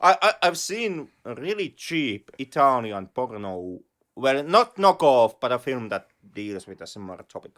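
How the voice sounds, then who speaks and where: Finnish male co-host: animated intonation, halting, restarts a lot. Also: medium pitch. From Finland. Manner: halting, repeats words while searching. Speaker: podcast co-host. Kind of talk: casual chat.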